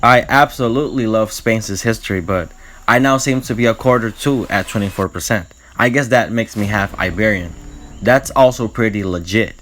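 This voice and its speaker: A man from Puerto Rico talks through a prepared vlog script in an earnest, explanatory way. His voice sits on the low side. A faint hiss of noise runs under the recording. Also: moderate pace, a bit bright. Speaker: vlogger. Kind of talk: scripted talk to camera.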